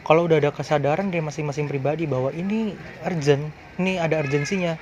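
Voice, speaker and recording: A man in his twenties answering interview questions in a relaxed, casual way, with loose slang. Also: fairly high pitch, fast talker, muffled recording. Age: 20s.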